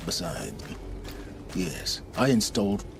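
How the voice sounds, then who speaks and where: Deep-voiced, proud wandering scholar. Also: male voice, big pitch swings, creaky, noisy background, full character voice. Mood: evasive, explaining. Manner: measured, formal, eloquent. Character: proud, secretive male wandering scholar of ancient machines. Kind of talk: video game cutscene acting. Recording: dramatic music behind the voice.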